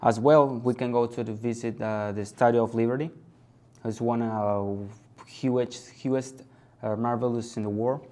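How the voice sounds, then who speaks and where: An eighteen-year-old man from Venezuela, speaking haltingly as he searches for words during an English exam. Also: mid-range voice, steady tone, warm tone. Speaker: test candidate. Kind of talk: exam conversation.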